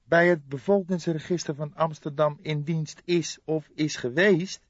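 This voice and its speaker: Man putting on a high-pitched young detective sidekick. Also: steady tone, measured pace, dry recording. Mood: questioning, doubtful. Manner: querying, slightly exasperated. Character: young male police detective, eager, weary, questioning sidekick. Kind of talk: audiobook character dialogue. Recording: dull lo-fi recording.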